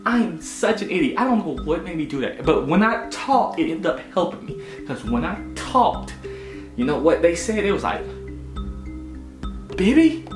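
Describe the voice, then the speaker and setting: A young American man in his twenties casually telling a personal story in an expressive, self-mocking way and acting out dialogue. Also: high voice, moderate pace. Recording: roomy echo with music playing behind. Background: music.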